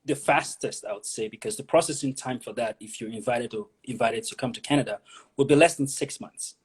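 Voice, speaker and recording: A man's voice: medium pitch, brisk, slightly rough. A man from Nigeria, an immigration consultant, explaining pathways as an interview guest, often repeating words as he thinks.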